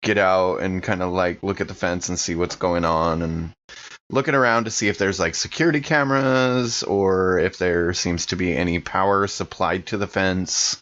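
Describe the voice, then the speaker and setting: Male tabletop player, lowish register, loose improvised talk, somewhat muffled sound. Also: steady tone, normal speed, a bit bright. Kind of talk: casual improvised game talk.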